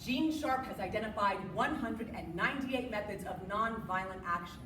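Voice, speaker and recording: A woman's voice: mid-range voice, moderate pace. Young woman declaiming in a roomy, noisy space. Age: young adult. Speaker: readers theater performer on a college forensics team. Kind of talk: rehearsed dramatic readers theater speech. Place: indoor room, live stage performance. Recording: echoey room from far off, noisy.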